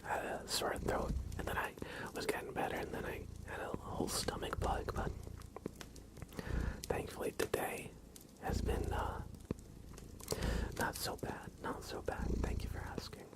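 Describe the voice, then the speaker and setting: A man hosting an ASMR livestream, speaking softly with playful asides as he chats. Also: moderate pace, pauses often, low-quality recording. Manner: soft-spoken, playful asides to a pet cat. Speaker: livestream host. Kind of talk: whispered ASMR livestream chat.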